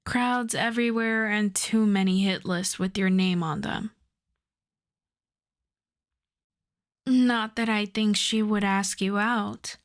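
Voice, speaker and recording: A woman playing a suave, self-assured female supervillain in a roleplay audio drama, flirting and teasing in an unhurried way. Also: medium pitch, fairly even intonation, close to an everyday voice. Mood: confident, coaxing. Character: suave flirtatious female supervillain courting her costume maker.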